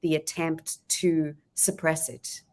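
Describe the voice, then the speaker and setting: A woman's voice: deep voice, level tone, slow pace, a little mellow. A middle-aged woman with a South African accent, speaking thoughtfully as a panelist in a discussion. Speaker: panelist, scholar. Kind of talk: panel discussion, considered talk.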